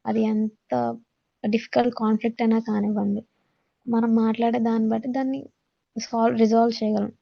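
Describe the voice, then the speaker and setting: A woman's voice: fairly high pitch, little pitch movement, brisk, creaky, long pauses, muffled recording. A woman with a Telugu accent teaching in a lecture style, mixing English terms and read-out notes into her explanations. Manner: code-switches between Telugu and English, instructional.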